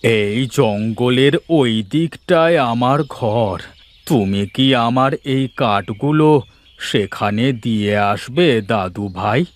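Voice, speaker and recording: An actor playing a frail, weary old forest man in a cartoon, with a plaintive, halting delivery. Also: medium pitch, lightly acted. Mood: pleading.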